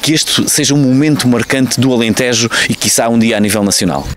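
A man's voice: mid-range voice, brisk, a little hiss or hum. A middle-aged man with a European Portuguese accent, a local official interviewed outdoors by wooden stalls with a handheld mic, speaking with frequent fillers. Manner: fluent but filler-heavy. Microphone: handheld reporter mic.

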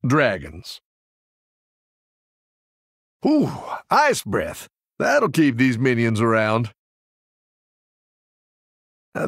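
A friendly fantasy tavern keeper for a video game, warm and encouraging. His voice is gravelly and swings widely up and down. He takes his time over each line in a folksy, welcoming way. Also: on the high side, no room sound, clean studio sound, exaggerated voice. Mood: jovial, welcoming. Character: friendly, encouraging tavern keeper who tends little dragons. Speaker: video game character voice actor. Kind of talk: game character voice lines.

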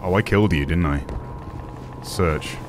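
A young man with a deep voice giving casual gameplay commentary as a streamer, relaxed with excited asides. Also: measured pace, over music. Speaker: gaming streamer.

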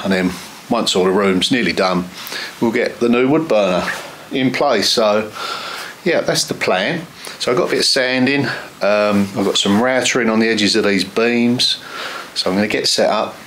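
A man's voice: on the low side, moderate pace, fairly bright tone, a little hiss or hum. A man, casually explaining his building plans step by step with plenty of fillers, filmed inside a stone outbuilding. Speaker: vlogger renovating a stone cottage. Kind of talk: casual walkthrough vlog.

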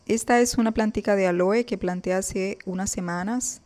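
A woman's voice: mid-range voice, steady tone, moderate pace, a little mellow. A woman hosting a casual gardening vlog, chatting straight to her viewers and pointing things out as she films her plants outdoors on a balcony. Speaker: gardening vlogger.

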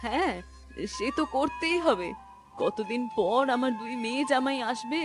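Cartoon village wife and mother female actor: high-pitched, animated, homely, mildly scolding, over a music bed. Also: moderate pace, natural voice. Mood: homely, attentive. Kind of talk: cartoon story dialogue.

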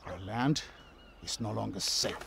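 An actor playing a proud, bitter old man in a video game, deliberate and contemptuous. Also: on the low side, vocal fry. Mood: accusing. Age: older. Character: proud, bitter, scornful old man defending his family. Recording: faint outdoor background noise.

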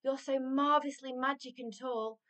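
A woman's voice: high voice, fairly even intonation, normal speed, fairly bright tone, far from the mic, pitched above the performer's own voice. A woman voicing small children in a storybook, sweet and eager, pleading emphatically.

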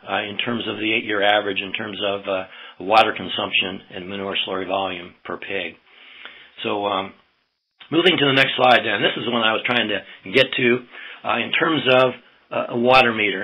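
An American man giving an informal slide lecture to farmers as a presenter, in a folksy, halting way. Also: on the low side, sharp tone, breathy edge, highs cut off. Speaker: presenter, swine extension specialist.

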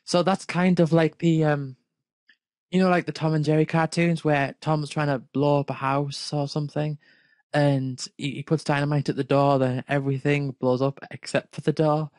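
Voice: mid-range voice, flat delivery, normal speed, a little mellow, dry recording, studio quality. A person reading fan fiction aloud and breaking off to question and poke fun at it off the cuff. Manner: breaks off to question and mock the text. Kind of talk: amused read-aloud with off-the-cuff commentary.

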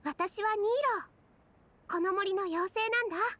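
アニメ調ゲームで、女性声優が演じる若い森の妖精。柔らかく優しい、ひたむきな話し方。. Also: female voice, very high voice, unhurried, no echo. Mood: gentle, earnest. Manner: soft, gentle, earnest. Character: gentle young female forest fairy, kind and earnest. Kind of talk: anime video game voice acting.

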